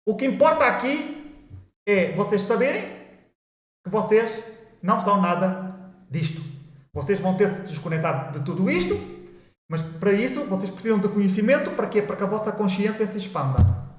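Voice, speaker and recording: Portuguese guy rambling about spiritual stuff, kinda high voice, roomy echo. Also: very animated, normal speed, pauses often. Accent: European Portuguese. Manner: rambling, repetitive, with restarts and mocking asides. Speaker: spiritual video host. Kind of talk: informal spiritual monologue.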